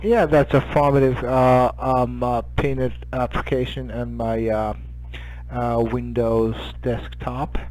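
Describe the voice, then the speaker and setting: Japanese guy maybe 50s on ham radio, medium pitch, talks slow n halting. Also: flat delivery, darkish tone, poor audio. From Hokkaido, Japan. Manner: halting, hesitant, searching for words. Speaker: amateur radio operator. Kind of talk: casual radio contact chat.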